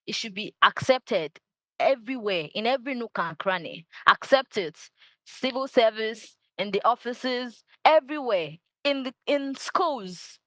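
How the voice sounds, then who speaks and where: A woman, a Yoruba speaker, answers interview questions with fervent, emphatic conviction. She hammers her points by repeating short phrases. Her voice sits at an ordinary pitch for a woman, and it is recorded close and dry with no room echo. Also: bright, forceful tone. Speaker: guest, culture advocate.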